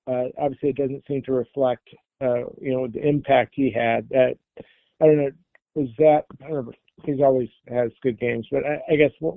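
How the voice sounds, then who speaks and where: Reporter on a press call, medium pitch, dry tinny phone sound, hesitant and wordy. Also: male voice, dry recording. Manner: rambling, hesitant questions with fillers. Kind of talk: asking questions on a press call. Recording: dry phone-line audio.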